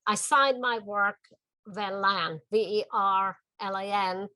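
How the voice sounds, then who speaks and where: An older woman from the Czech Republic with a Czech accent, talking about her art in a documentary interview in her home studio, gentle and thoughtful with small pauses. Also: mid-range voice, steady tone, dry recording. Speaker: artist.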